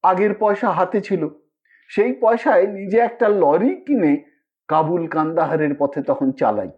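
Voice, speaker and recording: A man's voice: high voice, lively intonation, unhurried, darkish tone, highs cut off. A man narrating a scripted literary short story in a dramatic storytelling manner.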